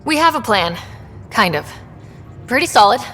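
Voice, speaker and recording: A woman's voice: a little high, big pitch swings, normal speed, bright, forceful tone, big-room sound, faint music behind. An actress playing an earnest young female leader of a space expedition in a video game, businesslike and conversational. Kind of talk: scripted video game acting.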